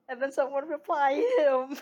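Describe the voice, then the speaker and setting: A woman's voice: high voice, level tone, a little slow, a little mellow, dull audio. A young woman, whose first language is not English, chatting casually and reading viewer comments with frequent giggles and rambling restarts. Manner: giggly, rambling, self-correcting. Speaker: streamer.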